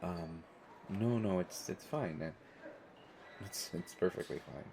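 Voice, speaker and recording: A man's voice: low-pitched, slow pace, gravelly, a little mellow, a little hiss or hum, full character voice. A man playing a stressed, self-deprecating airport stranger in a conversational audio roleplay, talking in hesitant, rambling stammers. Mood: nervous, friendly.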